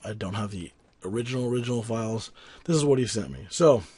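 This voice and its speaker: A man with a somewhat low, husky voice walks through an audio tutorial while fighting a cold. He talks in a loose, rambling way, full of ums and restarts, and keeps it offhand rather than polished. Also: expressive, fast, a little mellow. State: has a cold, voice raspy. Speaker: sound engineer teaching a tutorial. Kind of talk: casual screen-recorded software tutorial.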